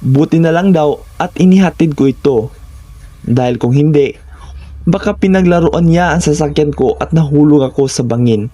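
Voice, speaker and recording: A man's voice: on the high side, normal speed, dark tone, lo-fi sound. A man with a Filipino accent giving a scripted first-person narration of horror stories.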